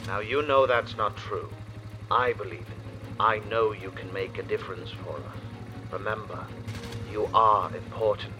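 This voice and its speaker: An actor playing a steady, protective, fatherly man who gives encouragement in an audio drama. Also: on the low side, a little slow, lightly acted. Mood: reassuring. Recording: background vehicle noise.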